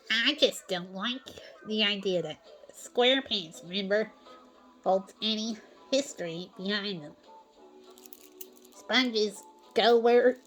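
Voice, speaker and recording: A woman voicing a stubborn, weary cowboy sea sponge drifter in a cartoon fan drama, halting and folksy. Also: mid-range voice, a bit bright.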